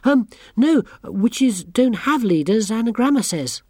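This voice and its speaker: A woman's voice: a little high, lively intonation, measured pace, a little mellow, no room sound, studio quality, pitched above the performer's own voice. A woman voicing a nervous, eager young girl witch who talks in a hesitant, apologetic way. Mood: timid.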